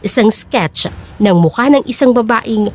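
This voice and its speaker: Woman, story narrator, high-pitched, animated intonation, dramatic scripted narration. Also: measured pace.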